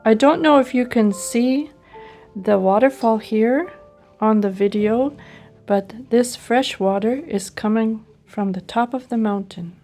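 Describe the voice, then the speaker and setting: A woman's voice: on the high side, unhurried, warm tone, faint music behind. A woman narrating her personal travel vlog in an enthusiastic, appreciative way. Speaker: travel vlogger.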